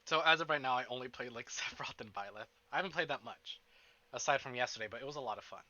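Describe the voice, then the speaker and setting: Bright, forceful man streaming. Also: mid-range voice, fast, dry recording, lo-fi sound. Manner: chatty, animated, self-interrupting, playfully profane. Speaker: streamer, host of a visual novel stream. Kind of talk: casual livestream chat to viewers. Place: indoors at a desk.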